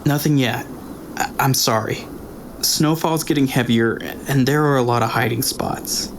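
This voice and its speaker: A man's voice: medium pitch, measured pace, lightly acted. A man voicing a nervous young male scout who answers hesitantly and stammers. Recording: noisy recording with gravelly texture.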